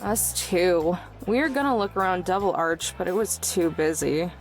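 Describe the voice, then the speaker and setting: A woman's voice: fairly low pitch, expressive, moderate pace, soft background music, lightly acted, low-quality recording. A woman playing a reserved, wry, modest young road-tripper in a visual novel, with a dry delivery. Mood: hesitant.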